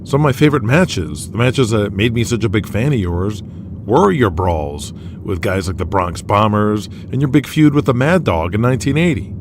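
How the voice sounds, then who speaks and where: A man's voice: on the low side, expressive, moderate pace, noisy background, lightly acted. A man voicing an earnest, nervous young wrestling fan who talks candidly in an audio drama. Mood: admiring.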